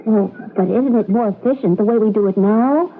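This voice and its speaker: A woman's voice: on the high side, fairly quick, narrow, tinny audio, lightly acted. An actress playing a naive, wonder-struck woman full of questions, in old-time radio drama style. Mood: hesitant curiosity. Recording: thin band-limited radio broadcast, some noise.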